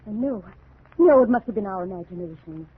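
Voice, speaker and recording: A woman's voice: mid-range voice, animated, a little fast, husky, narrow, tinny audio. An actress playing a concerned, reproachful wife who stands her ground in an old-time radio drama, earnest and dramatic, on a thin, noisy vintage recording. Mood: uneasy.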